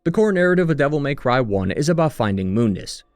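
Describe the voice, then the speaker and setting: A man's voice: medium pitch, big pitch swings, fast, no room sound, clean studio sound, faint music behind. A man giving a scripted narration for a video game retrospective.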